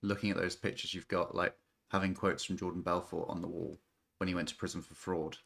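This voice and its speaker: A British man hosting a webinar, chiming in with relaxed, joking remarks over a video call. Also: low-pitched, fairly quick, vocal fry, husky. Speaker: webinar host. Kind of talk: casual conversational aside.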